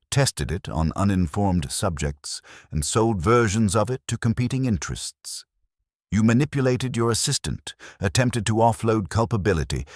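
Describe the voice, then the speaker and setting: A man voicing an imperious, unhurried detective who never leaves his chair, speaking in a cold, precise, commanding way. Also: deep voice, no echo, studio quality, pitched above the performer's own voice.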